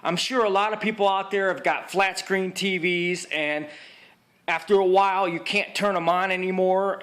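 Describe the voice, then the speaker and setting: A man's voice: high-pitched, fairly bright tone. A man giving an informal how-to talk as a repairer, in an emphatic, opinionated and repetitive way, with blunt slang. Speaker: vintage video equipment repairer giving a how-to guide. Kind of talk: informal instructional talk to viewers.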